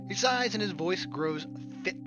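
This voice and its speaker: A man's voice: high voice, animated, normal speed, music in the background. A man doing let's play commentary on a game, reading narration aloud and adding jokey, sarcastic asides. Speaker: gaming let's player.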